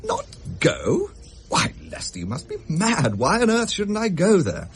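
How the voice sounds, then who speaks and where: A flippant English gentleman officer in a Victorian radio play. His delivery swings widely in pitch, jaunty and blustering, with a well-bred drawl. There is clear noise behind the voice. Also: huge pitch swings, measured pace, heavily acted. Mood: amused, dismissive. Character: breezy, roguish Victorian bachelor army captain, flippant and jovial. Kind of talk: period radio drama acting.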